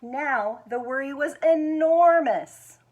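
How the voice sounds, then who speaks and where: An American woman with a husky voice reads a children's picture book aloud expressively, stretching words for emphasis. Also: high-pitched, phone-quality sound. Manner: expressive, with drawn-out emphasis and quiet asides to show pictures. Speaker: executive director of a schools foundation, guest reader.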